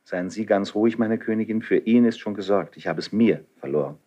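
Man acting a doomed idealistic nobleman, husky, low-pitched, declamatory, solemn, fervent, 1970s radio play verse. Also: a little fast, mellow, highs cut off, natural voice. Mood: urgent, resolute. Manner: declamatory, solemn, emphatic delivery. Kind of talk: 1970s German radio drama, classical stage verse.

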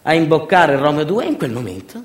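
A man delivering a dramatic theatrical monologue, intense and rhythmic, with repetitions and deliberate pauses. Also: a little high, big pitch swings, heavy background noise. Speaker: stage actor and narrator. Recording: roomy, echoing sound.

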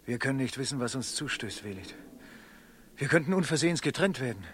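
Actor as animated, secretive runaway lover in radio drama. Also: male voice, mid-range voice, fairly quick, a little hiss or hum, close to an everyday voice. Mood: wary. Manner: dramatic, declamatory stage diction. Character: Mohalab, runaway slave, secretive, guilt-ridden deceiving lover. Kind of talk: classic German radio play acting.

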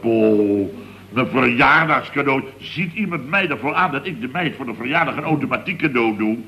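A man's voice: medium pitch, lively intonation, moderate pace, gravelly, dull audio. A man playing a flustered, indignant contractor boss in a radio comedy, blustering, sputtering and repeating himself. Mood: exasperated.

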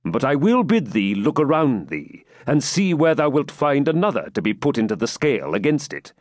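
A man voicing a confident medieval king for a storybook, with measured, formal, archaic speech. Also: medium pitch, very animated, no room sound, clean studio sound. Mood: calm.

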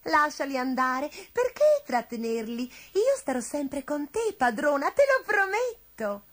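Woman playing a high, plaintive witch's cat. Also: very animated, measured pace, fairly bright tone, heavily acted. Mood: coaxing. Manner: high, emotional, plaintive delivery. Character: female witch's cat, devoted, plaintive and coaxing.

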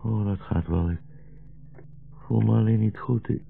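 An old blind rabbi in a 1960s radio play, dying and visionary. His low voice is full of gravel and moves slowly, with gentle, fatherly solemnity. The old broadcast recording is muffled and narrow. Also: fairly even intonation, soft background music, exaggerated voice. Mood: pained, weakening. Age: older. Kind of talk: 1960s radio drama acting.

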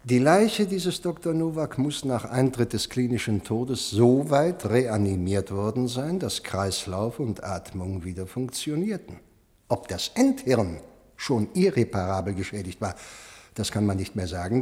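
An actor playing a fascinated, drily clinical doctor in a 1970s radio play, explaining deliberately and musing aloud. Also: male voice, mid-range voice, expressive, a little mellow, close to an everyday voice. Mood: matter-of-fact. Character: male doctor, clinical, fascinated, drily matter-of-fact about a body. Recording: long reverberant hall in radio play.

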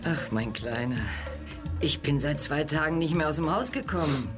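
A woman's voice: low voice, normal speed, close to an everyday voice. An actress playing a motherly, fretting older woman in a radio crime play, affectionate and chiding. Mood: fond, scolding. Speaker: actor in radio crime drama. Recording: loud music behind the voice.

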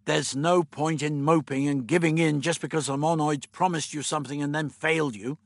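A man as a brisk, firm young adventurer. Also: high-pitched, big pitch swings, a bit bright, slightly rough, dry recording, studio quality, pitched above the performer's own voice, full character voice. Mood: snappish, urging. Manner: direct, urgent, questioning.

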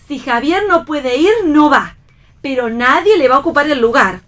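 High-voiced, stubborn pregnant young wife. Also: female voice, moderate pace, fairly bright tone. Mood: firm. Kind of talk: read radio theatre.

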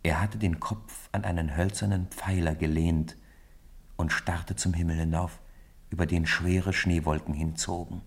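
A man narrates a literary radio play. His voice is deep and raspy, with plenty of creak as he tells the scripted story. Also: fairly even intonation, unhurried.

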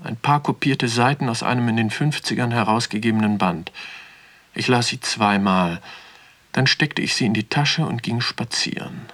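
An actor playing a reflective magazine writer who tells his own story in a measured radio drama narration. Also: mid-range voice, fairly bright tone, close to an everyday voice. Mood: matter-of-fact reflection.

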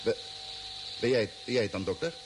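An actor playing a bewildered, agitated astronaut lost on a strange planet, halting and questioning, in a Dutch radio drama. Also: male voice, lowish voice, lively intonation, background noise, lightly acted. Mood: puzzled, uneasy.

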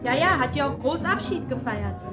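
A woman's voice: high-pitched, animated, normal speed. An actress playing a chatty, helpful woman in a pub, in a naturalistic radio drama. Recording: clear music behind.

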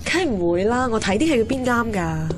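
A woman's voice: a little high, big pitch swings, brisk. Woman acting a lovelorn young Hong Kong heroine, music behind. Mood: frank. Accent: Hong Kong Cantonese. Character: lovelorn young woman narrating her romance, wistful and candid. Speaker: narrator and lead character. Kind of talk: radio drama narration and dialogue.

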